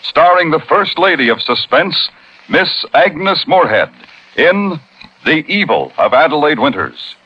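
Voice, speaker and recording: A man announces in dramatic 1950s radio style, theatrical and measured. His voice is rough and rather high, with big swings in pitch, on a muffled old broadcast with faint music. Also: big pitch swings. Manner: theatrical, measured billing of stars.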